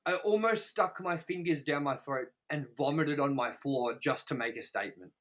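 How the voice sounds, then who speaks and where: A man's voice: fairly high pitch, moderate pace, a bit bright. A man co-hosting a casual, opinionated film review chat, speaking in a blunt, sweary, exaggerating way. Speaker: co-host of a movie review show.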